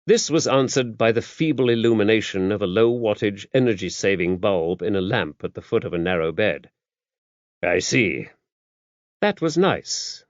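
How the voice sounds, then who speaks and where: A man's voice: lowish voice, no room sound, muffled recording. A man reading scripted mystery fiction as an audiobook narrator.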